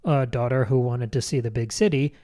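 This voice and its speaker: A man's voice: medium pitch, fairly quick, mellow. A man co-hosting a podcast, casually laying out points about a book one by one with wry asides. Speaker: podcast co-host.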